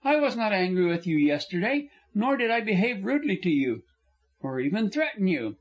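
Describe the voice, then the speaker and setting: A man's voice: high-pitched, big pitch swings, moderate pace, voice pushed up. A man doing a frank, impassioned young woman. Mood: animated. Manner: earnest, impassioned.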